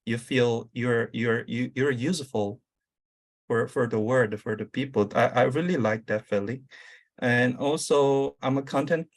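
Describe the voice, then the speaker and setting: A man with a Korean accent speaking English as a second language, a career coach giving an online summit talk, halting and full of fillers. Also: medium pitch. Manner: halting, many uh fillers and restarts. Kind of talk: conference talk over video.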